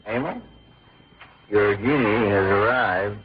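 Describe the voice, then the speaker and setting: An actor playing a kindly zookeeper in a 1950s radio drama, speaking in a playful, conspiratorial way. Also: male voice, lowish voice, slow, over a noisy crowd. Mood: playfully secretive. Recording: dull old radio broadcast recording.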